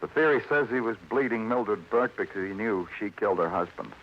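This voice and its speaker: An actor playing a world-weary, hard-boiled New York police detective in a 1950s radio crime drama, speaking in a clipped, terse, commanding way. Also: male voice, lowish voice, animated, a little fast, slight background noise. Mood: dry, skeptical. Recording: muffled vintage radio recording, loud music.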